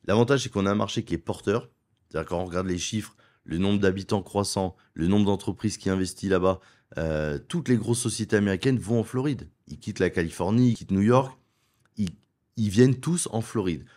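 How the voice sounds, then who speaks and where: A middle-aged man from France speaks with a somewhat low voice. He argues his points persuasively in a studio interview, stacking them in quick runs. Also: darkish tone. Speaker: wealth advisor and property investment guest. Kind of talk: conversational interview.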